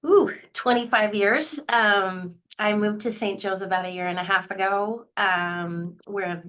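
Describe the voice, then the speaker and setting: Woman, mid pitch, bright voice, chatty, filler-heavy, video call mic. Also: measured pace. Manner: warm, chatty, many ums. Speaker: school advancement director. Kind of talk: webinar panel talk.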